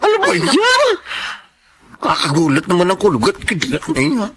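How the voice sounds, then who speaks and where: An actor playing a playful, campy, teasing best friend in a Tagalog radio drama. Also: male voice, sits high, wildly swooping pitch, normal speed, a bit bright, husky, full character voice.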